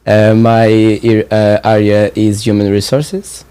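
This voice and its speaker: A man in his twenties with a Portuguese accent, speaking English as a second language in a casual conversation, halting and searching for words with many fillers. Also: on the low side, completely flat delivery, dark tone, clean tone, no echo. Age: 20s. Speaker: training participant.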